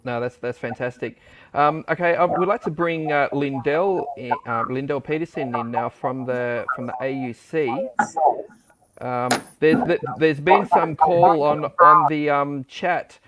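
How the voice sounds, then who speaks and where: A man hosting an online church panel over a video call, talking in a halting way with many restarts. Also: on the high side, background noise. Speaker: livestream host. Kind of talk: casual panel chat.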